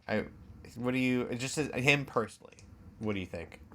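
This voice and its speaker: A man's voice: medium pitch, animated, normal speed, pushed and bright, a little hiss or hum. A man chatting casually as a podcast co-host. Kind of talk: casual chat.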